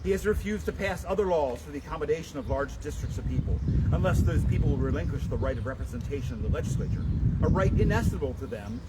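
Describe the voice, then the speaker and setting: A man giving a dramatic, declamatory public reading of a historical document in deliberate oratory, outdoors. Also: fairly high pitch, expressive. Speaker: public reader at a commemoration. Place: outdoors at a memorial site. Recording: noisy outdoor live stream, traffic.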